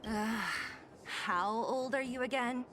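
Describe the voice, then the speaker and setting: A woman's voice: a little high, slow, over music. A woman voicing a blunt, restless young woman in a game, talking in a weary, grumbling way. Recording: game audio with steady background noise.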